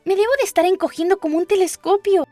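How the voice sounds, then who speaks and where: A woman's voice: very high voice, steady tone, quick pace, mellow, dry recording, soft background music, pitched above the performer's own voice. A woman voicing a curious little girl from a storybook, musing and scolding herself as she thinks aloud. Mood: amazed. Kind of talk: storybook character voice.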